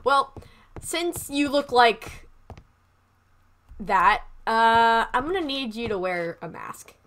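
A woman's voice: on the high side, lively intonation, takes it slowly, fairly bright tone. A woman playing a patient but exasperated medic in an improvised zombie roleplay game, talking hesitantly and placatingly. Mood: matter-of-fact.

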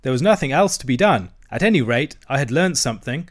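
A man's voice: mid-range voice, expressive, fast talker, natural voice. A man as a hearty, adventurous storybook otter. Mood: brisk, hearty. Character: cheerful, hearty, adventurous male otter telling his tale.

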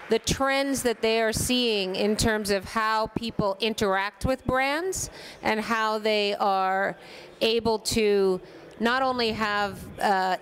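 Medium-pitched woman talking on a panel. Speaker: panelist from a crowdfunding site. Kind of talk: conversational panel talk. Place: conference hall stage. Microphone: gooseneck microphone at seat.

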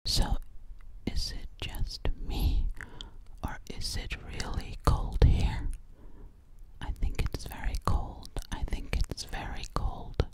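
A young woman playing a gentle, curious alien girl in an ASMR roleplay, whispering breathily very close to the mic and softly repeating her phrases. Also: natural voice. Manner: slow, soothing, repeats words and phrases. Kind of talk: ASMR personal-attention roleplay. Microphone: very close to a large microphone.

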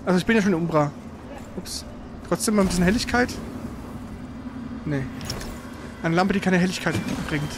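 A man giving casual, colloquial live commentary while playing a video game, prone to excitable exclamations. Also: high voice, moderate pace, pauses often, background noise. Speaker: let's player. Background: loud video game sound.

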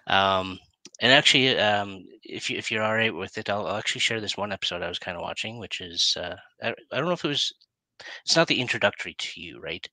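A middle-aged man hosting a casual interview over a headset, with halting, hesitant phrasing. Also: on the low side, lively intonation, sharp tone, no room sound, poor audio. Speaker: interview host. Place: indoor room. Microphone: headset on a video call.

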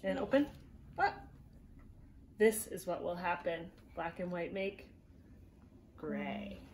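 A woman in her thirties reading a picture book aloud to young children at home, gently encouraging them and prompting with questions. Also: lowish voice, lively intonation, takes it slowly, a little hiss or hum. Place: small living room at home, indoors.

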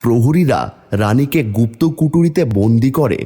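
A man's voice: medium pitch, lively intonation, moderate pace. A man reading scripted fairy-tale narration as the storyteller. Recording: loud music behind the voice. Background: loud music.

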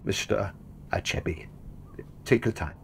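A man's voice: on the low side, unhurried, vocal fry, a little hiss or hum. A man playing a polite, awkward photographer. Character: polite male studio photographer.

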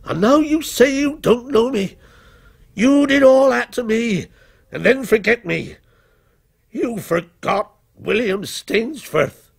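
A man's voice: on the high side, very animated, unhurried, vocal fry, long pauses, husky, exaggerated voice. A man voicing a vengeful, menacing criminal posing as a policeman, taunting and bitter in a dramatic story. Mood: bitter, accusing. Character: menacing, vengeful male fictional criminal come to life as a policeman.

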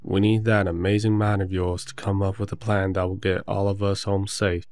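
A man's voice: low-pitched, level tone, moderate pace, a little mellow, no echo. A man playing a protective, reassuring werewolf in an audio drama, speaking fondly. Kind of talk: roleplay audio drama acting.

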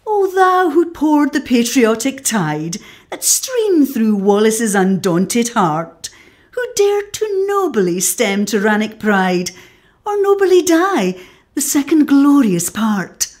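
Imperious, high-voiced proud lady. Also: big pitch swings, darkish tone. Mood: moved, excited. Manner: imperious, declamatory, deliberate. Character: strong-minded, proud, aristocratic middle-aged Scottish widow. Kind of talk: radio drama acting.

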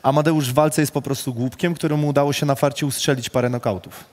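A man hosting a rapid question round, reading statements aloud with a Polish accent and casually swearing. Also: mid-range voice, fairly even intonation, a little mellow.

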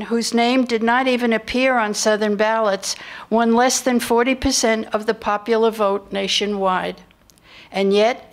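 An older woman, around seventy, reads historical narration from a script. Her voice sits a little high, and she speaks into a microphone in a lecture room. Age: older, about 70. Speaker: narrator in a staged radio play by retired students. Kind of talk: scripted narration read aloud. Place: lecture room with seated audience. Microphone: microphone on stand at lectern.

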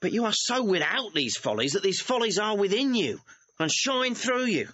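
An actor playing a cheeky, quick-witted young manservant in a classical audio drama, impudent and mock-deferential. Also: male voice, sits high, sing-song, sharp tone, heavily acted. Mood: pert, mocking. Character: cheeky, quick-witted young servant mocking his lovesick master. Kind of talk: classical stage-style audio drama acting. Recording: dull, band-limited vintage audio recording.

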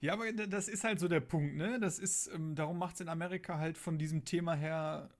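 A man with a slightly high voice co-hosts a podcast. He talks casually and colloquially, thinking out loud as he goes. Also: fairly even intonation, brisk. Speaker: podcast co-host. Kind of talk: casual chat.

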